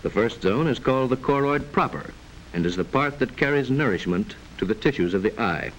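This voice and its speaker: A man narrating a 1940s educational film in a formal, measured, didactic manner, reading from a script. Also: medium pitch, expressive. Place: voice-over narration recording. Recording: noisy vintage 1940s film soundtrack.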